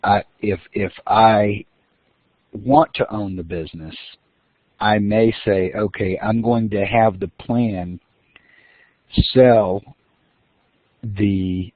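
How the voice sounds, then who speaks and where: A man's voice: on the low side, steady tone, slow pace, pauses often, dry recording. A man giving a recorded lecture as the instructor, explaining step by step with fillers and restarts. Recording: dry, phone-like lo-fi audio.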